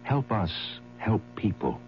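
Very deep-voiced earnest male announcer. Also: very animated. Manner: earnest, measured. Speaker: public service announcer. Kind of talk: scripted 1970s radio charity appeal. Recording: dull 1970s radio recording with hum.

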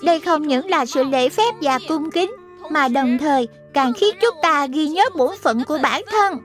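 A woman's voice: sits high, expressive, moderate pace. A woman voicing a small, encouraging lion sprite who guides children in a cartoon, childlike and instructive. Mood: cheerful teaching. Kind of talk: dubbed children's cartoon acting. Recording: music behind the voice.

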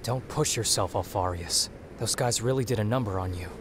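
An actor playing a concerned, steady male companion in a fantasy game, speaking plainly. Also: on the low side, brisk, background noise, natural voice. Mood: caring concern.

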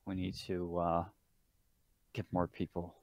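Male roleplayer, low and resonant, unhurried, casual game talk. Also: completely flat delivery, creaky, darkish tone, no echo. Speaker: player in a tabletop game.

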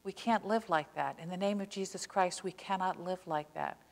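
Older woman preaching in a reverberant sanctuary. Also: lowish voice. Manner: earnest, impassioned preaching with slow emphatic stresses. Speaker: pastor. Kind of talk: sermon. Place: church sanctuary, behind a pulpit. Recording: long church reverb.

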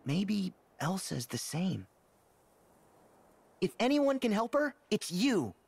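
A man playing a kind, eager teenage boy hero in an animated fantasy game. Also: sits high, slow, vocal fry, breathy edge, no echo, heavily acted. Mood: gentle, reflective. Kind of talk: animated game cutscene acting. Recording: music behind the voice.

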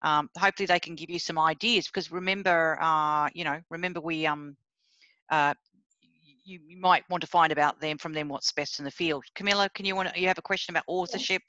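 A woman's voice: sits low, fairly even intonation, a little fast, sharp tone, no room sound, muffled recording. A middle-aged woman professor from Sri Lanka, leading a seminar over a video call in a blunt, chatty way that speaks straight to her listeners. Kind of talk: informal academic talk on a video call.

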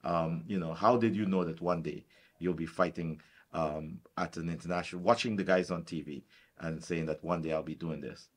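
A man's voice: deep voice, fairly even intonation, normal speed. A middle-aged man conducting a conversational sit-down sports interview, often repeating words for emphasis. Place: furnished indoor room, seated.

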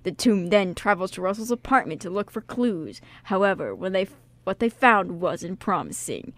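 A woman's voice: mid-range voice, big pitch swings, normal speed, dry recording. A woman hosting a radio show, talking in a casual, jokey, informal way. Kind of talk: casual radio chat and reading a synopsis aloud.

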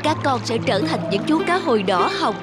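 A woman voicing a caring, motherly adult female catfish who reluctantly fosters young fish in an animated fairy tale. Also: on the high side, brisk, over loud music, natural voice. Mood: tender, sad.

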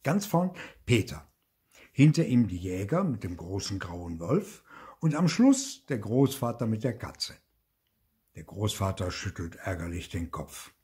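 An older man reading a fairy tale aloud in an unhurried, expressive way. His husky, breathy voice rises and falls vividly and sinks to a whisper at tense moments. He sits close to a phone or webcam in a book-lined study. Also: on the low side. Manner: expressive, slow, whispers suspense. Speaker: storyteller. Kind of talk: children's story reading. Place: indoor study with bookshelves.

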